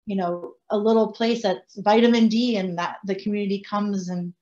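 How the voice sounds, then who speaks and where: Casting: woman in her forties, non-native English speaker, lively restaurateur, rambling, slightly dull call audio. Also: mid-range voice, moderate pace. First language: French. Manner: animated, gestures, rambling asides. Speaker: bakery and restaurant owner. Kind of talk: casual panel talk. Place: home room, indoors. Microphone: video call.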